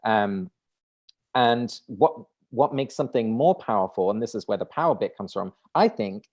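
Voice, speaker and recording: A man's voice: fairly low pitch, lively intonation, moderate pace, darkish tone. A man in his thirties, a native Dutch speaker, talking through ideas as an interview guest, often restarting and repeating words. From Netherlands. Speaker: guest author. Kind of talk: conversational interview.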